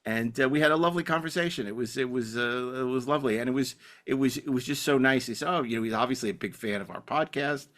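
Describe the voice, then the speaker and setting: A man hosting a podcast in casual, rambling chat, halting often and repeating his words. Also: medium pitch, a bit bright. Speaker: podcast host.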